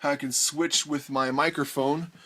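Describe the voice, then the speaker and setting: A man with an American accent hosting a homemade gear demonstration in casual, unscripted talk, halting and thinking aloud as he explains. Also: on the high side, steady tone, bright, forceful tone. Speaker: host of a DIY music gear video.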